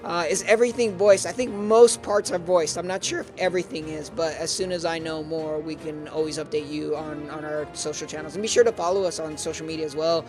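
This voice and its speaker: A man's voice: fairly high pitch, steady tone, brisk, few pauses. A man hosting a live game stream, commenting on gameplay and hesitating often with fillers and restarts. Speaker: stream host. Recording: clear music underneath.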